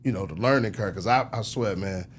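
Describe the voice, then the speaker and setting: A middle-aged American man telling his story casually as an interview guest, in slangy conversational speech with frequent throat clearing. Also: on the low side, fairly quick, a little hiss or hum. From United States, Chicago. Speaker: interview guest, record executive.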